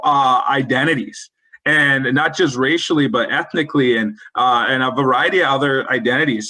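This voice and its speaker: A man leads an educator webinar with a bright, forward tone. His voice has a slightly husky edge, and he sits a little above the usual male pitch. He talks in an earnest, coaching way. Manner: earnest, coaching, quotes example phrases. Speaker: webinar presenter. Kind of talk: presenting a professional development webinar.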